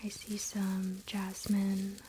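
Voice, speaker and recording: A woman whispering breathily and softly in an unhurried, casual, chatty ASMR style, close to the microphone. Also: mid-range voice, completely flat delivery, a little hiss or hum. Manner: unhurried, soft-spoken, informal. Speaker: ASMR creator and crystal seller.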